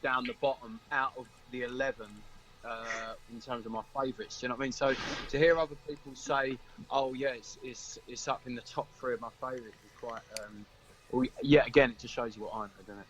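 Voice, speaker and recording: A man's voice: mid-range voice, steady tone, a little fast, fairly bright tone, a little hiss or hum, rough recording. A man chatting casually as a band member being interviewed.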